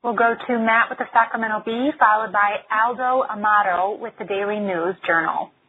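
A woman's voice: medium pitch, normal speed. A woman moderating a conference call with brief procedural announcements that introduce each questioner. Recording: tinny phone line, faint noise.

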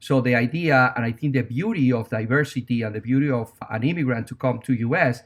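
A man's voice: mid-range voice, a little fast. A man from Venezuela with a Venezuelan Spanish accent, a native Spanish speaker, talking earnestly and reflectively as an interview guest.

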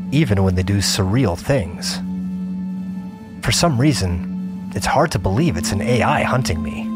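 Man acting as weary veteran gamer, low voice, intense measured storytelling, audio drama narration, music bed. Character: seasoned, weary male gamer narrating a survival adventure. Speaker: narrator and lead character. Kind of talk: scripted first-person audio drama narration with acted dialogue.

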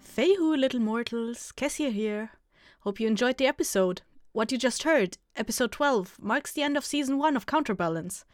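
A woman's voice: a little high, lively intonation, moderate pace, no echo. A woman podcast host giving informal announcements to listeners after an episode. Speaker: show host, writer and producer.

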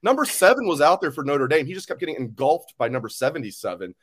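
A man's voice: fairly high pitch, very animated, fast, a bit bright, breathy edge. A man hosting a sports podcast interview, chatty and joking, often correcting himself mid-sentence. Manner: rambling, joking, self-correcting. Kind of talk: casual interview.

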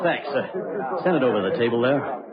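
An actor playing an earnest, bewildered young American man in an old-time radio drama, speaking haltingly and urgently. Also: mid-range voice, lively intonation, a loud crowd, very noisy, natural voice. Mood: wary. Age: young adult. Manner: halting, urgent, repeats himself. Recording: muffled old radio recording.

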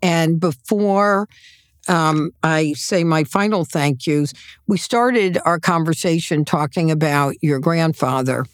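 Unhurried woman podcast host. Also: sits low, no echo. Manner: supportive, finishes the guest's sentences. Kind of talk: interview conversation.

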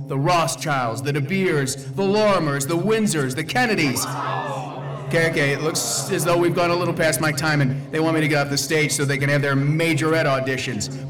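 An actor playing a paranoid, self-important conspiracy theorist in a radio drama, speaking with emphatic indignation. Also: male voice, high-pitched, poor audio.

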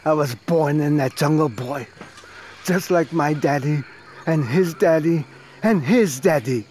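An actor playing a stubborn old jungle-born miner in an audio drama, speaking in halting, solemn, plain sentences. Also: male voice, a little high, a little mellow, lightly acted. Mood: wistful conviction. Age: older. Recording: noisy outdoor ambience behind voice.

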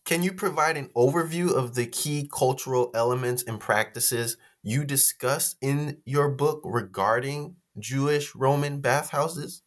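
A man's voice: medium pitch, measured pace, few pauses. A man hosting a podcast, reading prepared questions to his guest in a formal way. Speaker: podcast host. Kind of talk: interview hosting.

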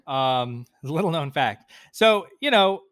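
A man's voice: a little high, lively intonation, slow pace, fairly bright tone, no room sound, clean studio sound. A man talking sports and data conversationally as a podcast panelist.

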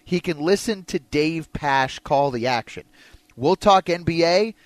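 An American man hosts sports talk radio in a fairly high voice. The sound is close and very dry, with conversational analysis flowing like studio radio. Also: a bit bright, no room sound.

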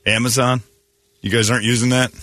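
A man's voice: mid-range voice, flat delivery, a little fast, fairly bright tone, no room sound. A man hosting a radio morning show, riffing in blunt, mocking comic banter. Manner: blunt, mocking, breaks into put-on voices.

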